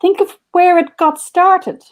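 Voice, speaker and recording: A woman's voice: very high-pitched, fairly even intonation, slow, voice pushed up. Woman reading as a frank, earnest nurse. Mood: earnest, reassuring.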